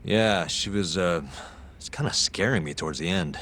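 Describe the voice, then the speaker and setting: A man's voice: low voice, moderate pace, fairly bright tone, slight background noise. A man playing a cool, wry, determined detective in a video game cutscene. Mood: focused.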